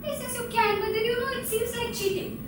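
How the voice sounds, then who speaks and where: A woman acting a chatty, gently needling wife in a live stage play, in a conversational, teasing way. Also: very high-pitched, fairly even intonation, fast talker. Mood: mildly exasperated. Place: indoor theatre stage, live performance. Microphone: distant microphone. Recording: distant, very echoey theatre, background noise.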